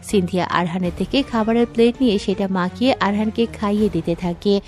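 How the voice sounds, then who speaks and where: A woman's voice: mid-range voice, a little fast, a little mellow, faint music behind. A woman reading scripted story narration as the narrator.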